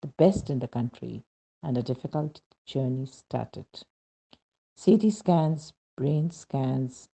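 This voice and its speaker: Devotional narrator: strongly gravelly, raspy and dark, reading scripted testimony with deliberate, dramatic emphasis. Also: medium pitch, low-quality recording.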